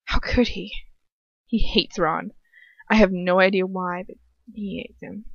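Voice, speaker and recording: A woman's voice: fairly low pitch, fairly even intonation, measured pace, creaky, dry recording. A woman reads a story aloud like an amateur at home. Her delivery is casual and stumbling, slipping into self-deprecating chat. The sound is dull and lo-fi, dry with little room around it. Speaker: amateur fan fiction reader. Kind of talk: casual read-aloud with chatty asides.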